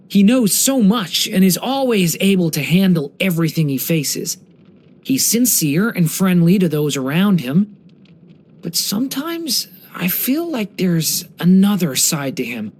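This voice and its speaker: Gentle dragon boy from a video game, earnest n full of wonder, pitch goes up and down a lot, middle register. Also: big pitch swings, unhurried. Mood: admiring, musing. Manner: soft-hearted, earnest, wondering, careful storytelling delivery. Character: gentle, earnest young boy who was once a small dragon. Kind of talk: scripted game character voice lines.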